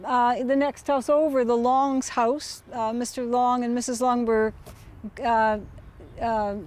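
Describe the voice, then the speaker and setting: Soft-spoken woman giving a street tour. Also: a little high, little pitch movement, slow pace. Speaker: interviewee. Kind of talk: casual tour-guide explanation.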